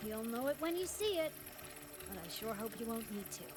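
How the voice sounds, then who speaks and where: A woman playing an expressive young female guide to a dream world in a video game, speaking in an animated way. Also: a little high, a little fast. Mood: playful warning. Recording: game music behind the voice. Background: game music.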